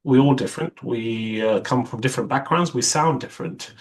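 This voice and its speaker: A young man whose first language is Arabic talks through his ideas in an interview. His voice sits at a mid pitch, and he pauses and restarts often as he searches for words. He acts out other people's remarks as quotes in passing. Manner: hesitant, with frequent restarts and quoted asides. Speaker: vascular surgeon, interview guest. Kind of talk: reflective interview conversation.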